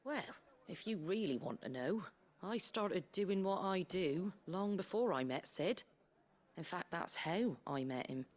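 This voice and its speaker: A woman playing a brisk, plain-spoken British innkeeper in a video game, earthy, colloquial and wry, tough but kindly. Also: fairly low pitch, animated. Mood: wry, reminiscing. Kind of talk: video game character dialogue. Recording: game music behind the voice.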